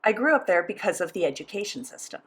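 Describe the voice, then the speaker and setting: A woman voicing a sign language interview as interpreter, speaking in a measured, steady first-person delivery. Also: on the low side, slightly rough.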